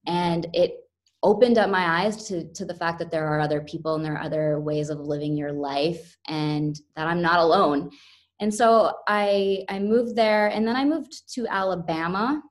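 A woman's voice: on the low side, moderate pace. A young woman from the United States, a podcast guest, talking earnestly and reflectively with frequent restarts. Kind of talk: interview conversation.

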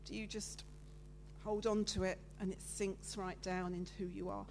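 A woman giving a lively, loud, chatty sermon with slides, talking with her congregation. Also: mid-range voice, normal speed, a little hiss or hum. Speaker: preacher giving a talk at church. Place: church meeting room with listeners present.

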